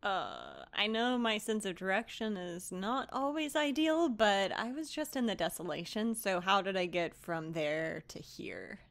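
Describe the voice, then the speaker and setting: A woman takes part in a tabletop game, improvising casually in character. She speaks slowly, with a lot of movement in her pitch. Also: sing-song, creaky, fairly bright tone. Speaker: tabletop role-playing player.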